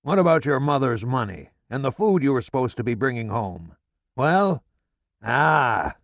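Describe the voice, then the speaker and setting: A man voicing a furious, foul-mouthed middle-aged father who rants and shouts curses at his family. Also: mid-range voice, big pitch swings, measured pace, dry recording, pitched above the performer's own voice. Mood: bellowing.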